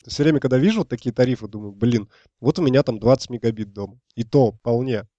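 A man with a soft, dark timbre and medium pitch chats informally on a podcast. He sounds inquisitive, and the recording is dry. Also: fast, no echo, dull audio. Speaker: podcast panelist. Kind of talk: casual chat.

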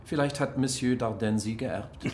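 An actor playing a wry, evasive German diplomat in a radio drama, dry and ironic in manner. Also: male voice, medium pitch, normal speed, a little mellow, slight background noise, lightly acted. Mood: wry bargaining. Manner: dry, ironic, smooth-talking. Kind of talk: naturalistic radio drama acting.

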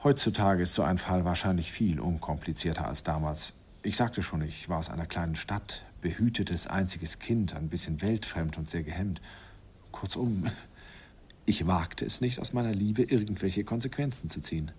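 A man's voice: low voice, normal speed, a little mellow, slight background noise, lightly acted. An actor narrating a radio play as a middle-aged man fondly recalling his first love, in a wistful, reminiscing way.

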